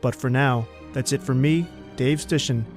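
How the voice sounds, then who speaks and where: Male podcast host, mid-pitched, scripted outro read over background music. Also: steady tone, moderate pace, a little mellow.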